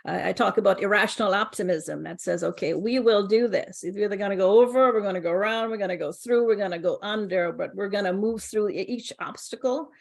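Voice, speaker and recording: A woman's voice: mid-range voice, fairly quick, creaky, hardly any pauses. A middle-aged woman with a Jamaican accent, speaking as a co-founder in a casual interview, laughing often and restarting her sentences. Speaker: co-founder and guest.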